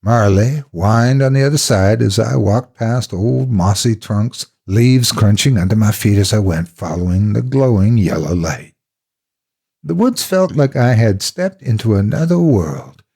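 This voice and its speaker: Husky, low-voiced man narrating horror. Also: lively intonation, warm tone. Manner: dramatic, measured storytelling. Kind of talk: scripted first-person horror narration.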